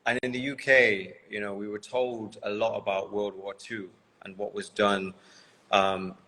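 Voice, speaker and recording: A man from the UK speaks with a low, forceful voice on a phone livestream, with faint noise behind him. He explains things earnestly and at length. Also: little pitch movement, a little slow, poor audio. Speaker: livestream guest. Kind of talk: casual livestream conversation.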